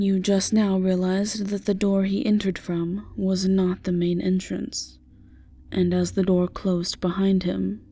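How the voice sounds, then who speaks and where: A woman narrates a scripted fantasy audio roleplay. Her voice sits at a middle pitch with a marked creak, and soft music plays faintly behind her.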